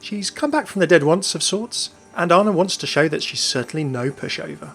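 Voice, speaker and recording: A man's voice: on the high side, expressive, brisk, creaky, a bit bright, soft background music. A man reading scripted narration about video game lore as the narrator of a video.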